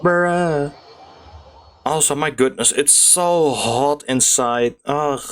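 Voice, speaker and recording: A man giving casual, excitable gameplay commentary, chatting to his viewers as a gaming YouTuber. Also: fairly high pitch, slow. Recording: game music behind voice. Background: video game music.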